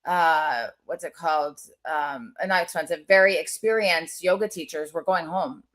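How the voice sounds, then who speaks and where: Chatty low-voiced woman host. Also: normal speed, fairly bright tone. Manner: chatty, rambling, many fillers. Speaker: webinar host. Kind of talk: casual webinar conversation.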